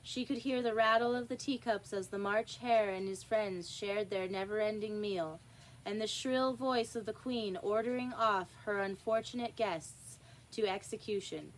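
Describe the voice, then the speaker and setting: A woman reading a children's story aloud in an expressive narrating style. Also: mid-range voice, normal speed, slight background noise, rough recording. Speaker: storybook reader.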